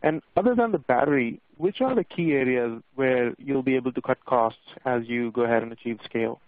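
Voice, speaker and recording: A man speaking as a financial analyst, asking questions on an earnings call in a polite, halting way as he searches for words. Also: medium pitch, no room sound. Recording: dry, tinny narrow phone line.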